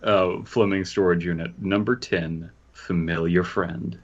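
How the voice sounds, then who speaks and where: A man's voice: low-pitched, steady tone, a little slow. A man co-hosting a comedy podcast, chatting casually and reading stories aloud in a goofy manner. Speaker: podcast co-host. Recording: dull lo-fi audio.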